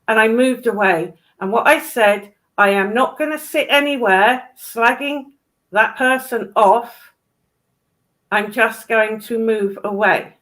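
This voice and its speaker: A woman's voice: on the high side, fairly bright tone. A woman with a British accent chatting casually in a blunt, sweary, emphatic way. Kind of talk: casual chat.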